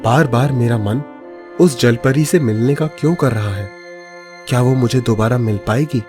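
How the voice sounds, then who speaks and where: A man's voice: mid-range voice, normal speed, darkish tone, faint music behind, close to an everyday voice. A man playing a young, stubborn, suspicious fisherman in a dramatised cartoon story, emphatic and dramatic. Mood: wistful.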